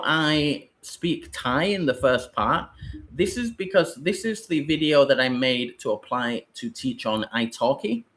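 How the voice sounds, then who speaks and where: A British man talks his way through a live webinar for new online teachers, drifting and rambling with plenty of fillers. His voice sits at an ordinary middle pitch for a man, neither deep nor high. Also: fairly bright tone. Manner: loose, rambling explanation with fillers.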